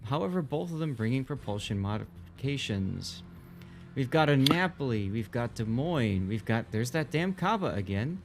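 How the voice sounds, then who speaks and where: A man's voice: medium pitch, big pitch swings, moderate pace, gravelly, background noise. A man commentating live on a video game tournament in a casual, explanatory way. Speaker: esports commentator. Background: music.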